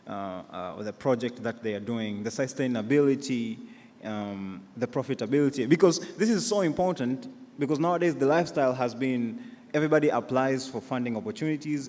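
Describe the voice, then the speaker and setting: A man's voice: mid-range voice, darkish tone. A man with a Tanzanian accent putting an earnest, insistent question from the floor of a big reverberant conference hall. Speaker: audience questioner, company executive.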